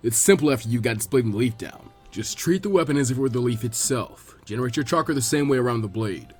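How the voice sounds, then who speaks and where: Relaxed male mentor for an anime story, played by a man, teasing, encouraging, varied intonation, light music behind. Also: mid-range voice, quick pace, pitched below the performer's own voice, lightly acted. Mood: instructive. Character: easygoing, teasing adult male ninja teacher.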